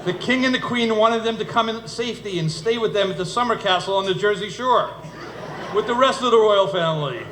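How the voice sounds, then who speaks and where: Echoey, high-pitched middle-aged man giving a speech. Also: brisk. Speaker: father of the bride giving a speech. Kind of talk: humorous wedding speech told as a fairytale. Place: indoor wedding reception venue. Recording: echoey room, noisy event ambience.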